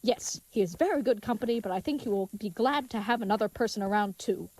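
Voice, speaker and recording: A woman's voice: medium pitch, fast talker, darkish tone, lightly acted, rough recording. A woman playing a big, earnest, dim-witted young knight in an audio drama, speaking in florid, old-fashioned, chivalrous phrases. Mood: pleased.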